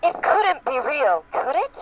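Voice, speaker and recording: A man's voice: very high voice, very animated, measured pace. A man reading fan fiction aloud in an amateur group dramatic reading. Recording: muffled noisy lo-fi voice-chat recording.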